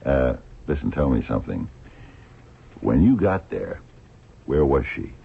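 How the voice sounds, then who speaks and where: An actor playing a shrewd, dogged blind investigator in a radio drama, gravelly and husky, probing with hesitant, murmured questions. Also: male voice, rumbling low, big pitch swings, measured pace, full character voice. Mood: insistent. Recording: thin, phone-like old radio recording.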